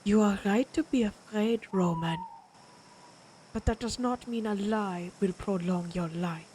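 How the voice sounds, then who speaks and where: Woman acting slow, menacing vampire warrior woman. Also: mid-range voice, background noise, close to an everyday voice. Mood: cold menace. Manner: slow, taunting, theatrical, predatory. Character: menacing, proud vampire warrior woman of ancient Germanic tribes. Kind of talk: dramatic audio roleplay acting.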